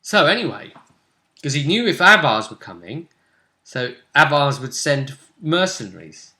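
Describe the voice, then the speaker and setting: Bright-voiced man running a tabletop game. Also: fairly high pitch, sing-song, a little slow. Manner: conversational, addresses the player with tag questions. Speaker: game master. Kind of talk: casual recap narration of a tabletop roleplaying game.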